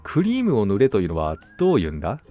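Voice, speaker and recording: Man acting a self-assured, gullible gentleman hunter, animated. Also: fairly low pitch, quick pace, muffled recording, faint music behind. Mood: surprised, impressed. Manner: confident, musing, conversational. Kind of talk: storybook dialogue acting.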